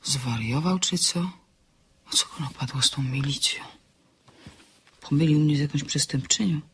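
A woman's voice: low-pitched, normal speed, noisy background. An actress playing an impulsive, inquisitive, chatty woman in an audio drama, with a theatrical manner. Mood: hushed musing. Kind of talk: audiobook radio drama acting.

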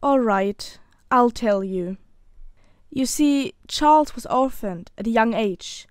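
Dry-recorded young female fiancée, acted by a woman, higher voice, loyal, earnest, confiding, audio drama. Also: lively intonation, takes it slowly, smooth, no room sound. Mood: reluctant, sorrowful.

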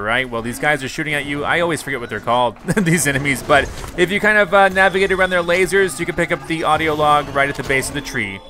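A man's voice: on the high side, pushed and bright. A man hosting a gaming channel, narrating a video game guide in an upbeat, conversational way straight to viewers.

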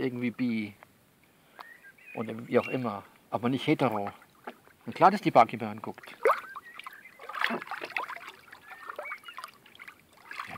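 A man playing a frank, worldly coach who talks a female client through things by phone in a blunt, coaxing, colloquial way with repeats and pauses. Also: medium pitch, gravelly, background noise. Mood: blunt, dismissive. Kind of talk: improvised-sounding one-sided phone monologue in an audio novel.

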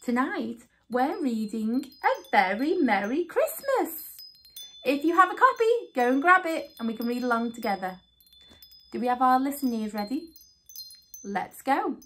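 A woman's voice: high voice, expressive, normal speed, a bit bright, breathy edge. A woman in her thirties hosting storytime, reading aloud to young children in a sing-song way.

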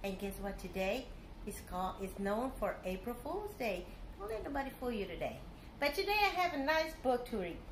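A middle-aged woman, a teacher reading a picture book aloud to young children in an animated, simple way. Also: fairly high pitch, fairly quick, a little hiss or hum, poor audio. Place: living room at home, indoors.

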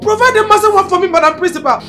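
A woman's voice: very high voice, racing, bright, forceful tone, soft background music. An actress playing a frantic mother pleading for her missing daughter, crying out to God. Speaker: worried mother. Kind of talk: film drama acting.

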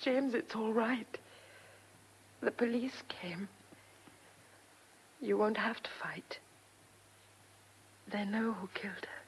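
Headstrong young woman in love, radio play, emotional, slow talking, faint noise behind. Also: on the high side. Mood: tender. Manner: urgent, emotional. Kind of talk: radio drama acting.

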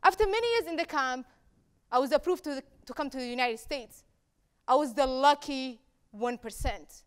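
A young woman from Somalia giving a prepared speech on a dark auditorium stage, repeating key phrases with deliberate pauses. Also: high voice, smooth. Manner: rhetorical repetition, deliberate pauses. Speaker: speaker at a campus talk event.

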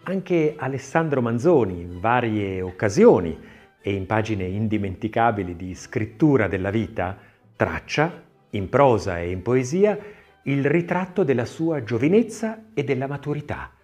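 A man reading a scripted literary text as an audiobook narrator, expressive and measured, with deliberate phrasing. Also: medium pitch, soft background music. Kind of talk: scripted audiobook narration of an art-history essay, with recited verse.

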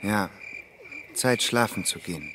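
A man's voice: lowish voice, expressive, a little slow, background noise. An actor playing an earnest male geologist and expedition companion in a science fiction radio play. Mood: curious, quiet. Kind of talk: German radio play dialogue.

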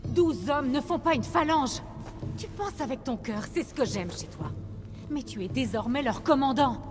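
A woman's voice: high voice, lively intonation, normal speed. A woman voicing a proud, shrewd rebel leader in a video game, with an earnest, firm and persuasive delivery. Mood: firm, reasoning. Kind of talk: video game voice acting in French. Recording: game dialogue over loud music.

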